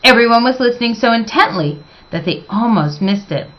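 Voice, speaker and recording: A woman reading a children's storybook aloud as expressive narration, with dramatic, emphatic phrasing. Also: on the high side, fairly quick, lo-fi sound.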